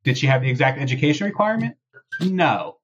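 A man's voice: on the high side, expressive, quick pace, lo-fi sound, slight background noise. A man hosting a casual podcast discussion, talking through and reading out posts. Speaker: podcast host.

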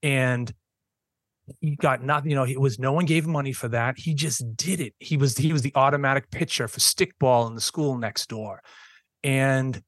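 A man's voice: mid-range voice, steady tone, moderate pace, fairly bright tone, no room sound. Middle-aged guy, teacher on a podcast, earnest, lots of ums and restarts, dry close sound. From Boston, USA. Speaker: public school teacher, podcast guest. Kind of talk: conversational storytelling interview.

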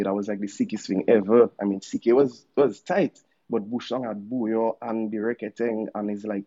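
A man's voice: fairly low pitch, dark tone, lo-fi sound. A man in his thirties from Dominica with a Dominican accent, a musician telling his story in a casual, laid-back interview with slangy asides. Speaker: musician guest.

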